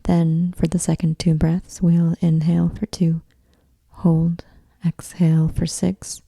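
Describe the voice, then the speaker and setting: A woman guiding a meditation in a soft, breathy near-whisper, with soothing, measured delivery. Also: on the low side, completely flat delivery, no room sound. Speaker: yoga nidra meditation guide. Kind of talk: guided meditation.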